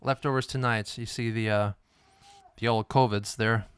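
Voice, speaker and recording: A man from Lithuania, hosting a casual livestream chat into a studio microphone, relaxed and chuckling, with halting restarts. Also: mid-range voice, clear, resonant tone, no echo. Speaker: streamer host. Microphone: studio dynamic microphone.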